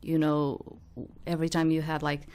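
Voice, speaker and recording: A woman giving casual game commentary and reading aloud, thinking out loud in a hesitant, rambling way. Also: low-pitched, completely flat delivery, moderate pace, clear, resonant tone. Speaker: host of a game playthrough.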